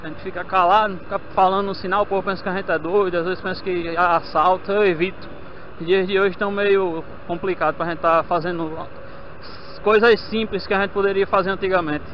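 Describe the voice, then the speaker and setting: A man's voice: high-pitched, fairly even intonation, brisk. A young man, chatting casually to his viewers as family while riding a motorcycle through city streets. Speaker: motovlogger. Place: on a motorcycle in city traffic, outdoors. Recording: dull lo-fi helmet mic with road noise.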